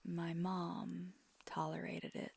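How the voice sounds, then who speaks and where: Woman acting as affectionate vampire girlfriend, hushed breathy whisper, very crackly creak, gentle, intimate ASMR roleplay. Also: fairly low pitch, flat delivery, takes it slowly, exaggerated voice. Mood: wistful, gentle.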